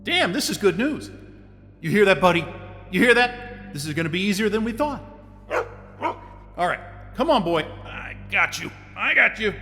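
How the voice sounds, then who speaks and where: Male lead: weary and sarcastic, high, bright, long reverb. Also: sing-song, moderate pace, long pauses, faint music behind. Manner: weary, sarcastic and short-tempered. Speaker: lead character. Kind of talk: audio drama acting.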